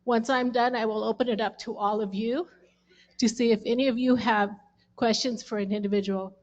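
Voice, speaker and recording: A woman's voice: a little high, fairly even intonation, fairly quick, darkish tone, highs cut off. A woman moderating a community candidates' meeting, laying out the guidelines in an organized, instructive way.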